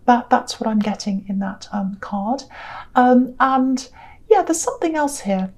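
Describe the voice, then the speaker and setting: A tired woman with a croaky voice giving a gentle, reassuring tarot reading in a casual, rambling way. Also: on the high side, animated, a little slow. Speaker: tarot reader.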